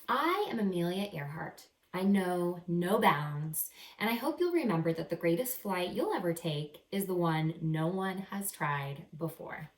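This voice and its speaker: A woman reading a picture book aloud to children as a teacher, expressive and encouraging, pausing to explain words simply. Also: lowish voice, a little hiss or hum. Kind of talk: animated children's read-aloud with simple asides. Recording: roomy echo, distant microphone.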